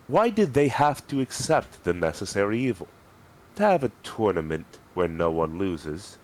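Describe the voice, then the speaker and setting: A man's voice: low-pitched, slight background noise, low-quality recording. A man narrating a story aloud as a dramatic reading.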